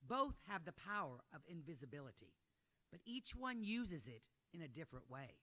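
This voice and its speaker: A woman gives a scripted introduction as presenter. Her voice is bright and forceful, and her pitch moves around in a lively way. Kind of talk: scripted narration.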